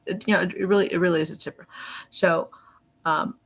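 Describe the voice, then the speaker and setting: A livestream host with a sharp, creaky voice at a middling pitch. The talk is casual and wandering, with halting restarts and repeated phrases, delivered earnestly. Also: fairly even intonation. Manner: halting, repetitive, peppered with "you know".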